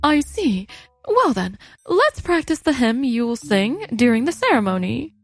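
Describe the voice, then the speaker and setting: A chipper wedding attendant played by a woman in a manga drama. She is polite and formal, very high in pitch and animated, over faint music. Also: unhurried. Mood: polite, professional. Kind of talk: manga story dub acting. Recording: music under the voice.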